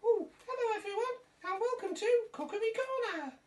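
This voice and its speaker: A woman's voice: very high voice, normal speed, darkish tone, distant mic. A woman voicing a small, eager talking banana sidekick in a comic puppet show.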